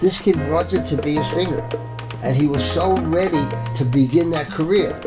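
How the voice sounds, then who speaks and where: Man, documentary interview, admiring tone, loud music bed underneath. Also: on the high side, a little slow.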